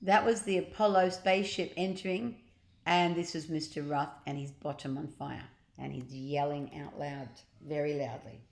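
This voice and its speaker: Older lady reading a kids story, slightly low voice, expressive, stretches words, echoey room. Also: normal speed, gravelly. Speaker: grandmother reading to grandchildren. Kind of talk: storybook narration read aloud.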